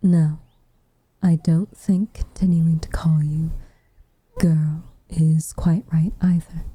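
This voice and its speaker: A woman playing a reserved, tenderly affectionate witch in a whispered ASMR roleplay, with soft breathy delivery and measured, formal phrasing. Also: sits low, level tone, dry recording, exaggerated voice. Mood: guarded confession.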